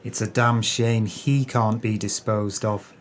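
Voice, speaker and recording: A man's voice: on the low side, fairly even intonation, slow pace. An actor playing a jealous, callous male swindler in an audio drama, sneering and self-pitying with grandiose, wordy speech. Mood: resentful, bitter.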